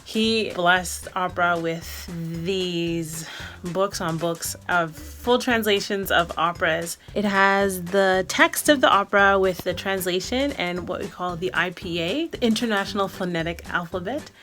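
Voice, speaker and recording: A woman's voice: on the low side, unhurried, fairly bright tone. A woman, an opera singer, talking to camera in a chatty vlog style and laughing often.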